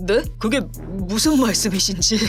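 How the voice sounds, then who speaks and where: A woman's voice: medium pitch, expressive, a little slow, natural voice. A woman playing a smug, scheming deputy manager in a Korean audio drama, with an oily, condescending manner. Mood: flustered, defensive. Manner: oily, self-satisfied, condescending. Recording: background music under the voice.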